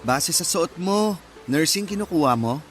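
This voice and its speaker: Actor as a high-voiced, eager young Filipino suitor. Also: male voice, lively intonation, normal speed, a little hiss or hum, lightly acted. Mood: friendly. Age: young adult. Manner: friendly, modest, deferential. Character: polite, eager nineteen-year-old working-class suitor. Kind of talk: Tagalog radio drama acting.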